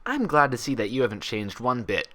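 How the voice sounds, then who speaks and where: A woman's voice: very deep voice, very animated, brisk, sharp tone, exaggerated voice. A woman voicing a hesitant, polite young female unicorn who sounds careful and anxious. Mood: relieved.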